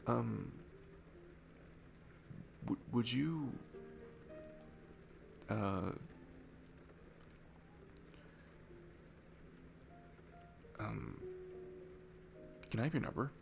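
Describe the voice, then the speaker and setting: A man's voice: on the low side, lively intonation, extremely slow, gravelly, pauses often, exaggerated voice. A man playing a shy, sweet, nervous young guy at a bar in an intimate ASMR roleplay, stammering and hesitant. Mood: nervous, smitten. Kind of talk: ASMR roleplay audio, intimate one-sided conversation. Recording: music behind the voice. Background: music.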